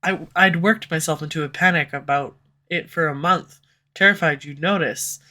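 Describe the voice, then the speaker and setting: A woman voicing a sharp-tongued, tsundere female villain who swears and speaks sarcastically, recorded close on a studio condenser mic. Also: sits low, moderate pace. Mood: confessional. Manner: sarcastic, swears, turns confessional. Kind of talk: audio roleplay. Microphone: studio USB condenser mic.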